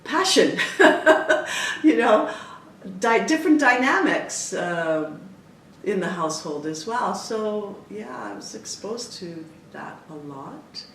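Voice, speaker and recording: Interviewee: expressive woman from Canada, Italian first language, mid-pitch, recorded a bit off-mic in an echoey room with faint hiss. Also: slow pace, creaky, far from the mic. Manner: expressive, animated, many restarts and fillers. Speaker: interviewee, dancer and choreographer. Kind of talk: reflective interview talk.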